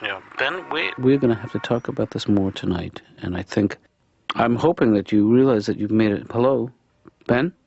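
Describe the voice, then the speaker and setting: A man playing a patient middle-aged therapist and father in improvised cartoon comedy, speaking in a hesitant, understated deadpan. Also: medium pitch, normal speed, creaky, over music. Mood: mildly concerned. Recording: dull, lo-fi audio.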